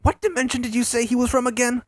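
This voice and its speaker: A performer voicing a cocky, teasing male hedgehog hero with a husky voice in a fan audio drama. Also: mid-range voice, quick pace, no room sound, clean studio sound, lightly acted. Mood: curious. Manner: brash and teasing.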